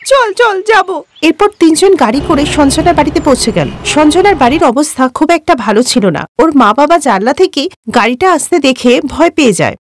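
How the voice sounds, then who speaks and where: A woman's voice: high voice, animated, a little fast, a little hiss or hum. A woman doing scripted narration for a cartoon story.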